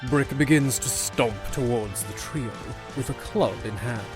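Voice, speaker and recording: A man's voice: medium pitch, unhurried. A man narrating a scripted fantasy audio drama as a storyteller. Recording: music behind.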